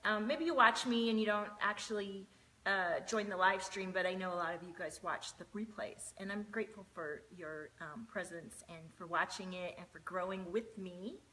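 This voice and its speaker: A woman in her forties, chatting casually on a home live stream from a desk mic. Her voice is bright and forward, sitting at a middle pitch, and she greets viewers by name and fills her pauses with plenty of ums. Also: poor audio. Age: 40s.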